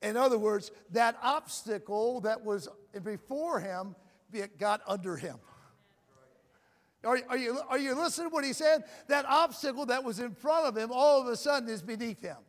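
A male preacher giving a loud sermon, asking the crowd "Amen?" again and again, repeating and stumbling over words as he builds to shouting, heard in a church with long reverb and faint music behind. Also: very high-pitched, expressive, moderate pace.

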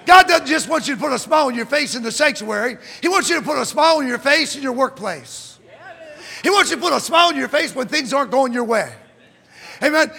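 High-voiced fervent preacher man, gravelly edge. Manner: fervent preaching, rising to shouts, reads scripture aloud. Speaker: pastor. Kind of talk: sermon. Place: church sanctuary. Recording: long church hall reverb.

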